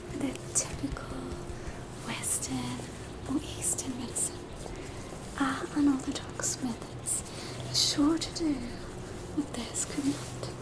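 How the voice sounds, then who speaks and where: An Australian woman whispering softly in a scripted ASMR roleplay, playing a polite, reassuring receptionist nurse at a horror hospital. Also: high voice, low-quality recording.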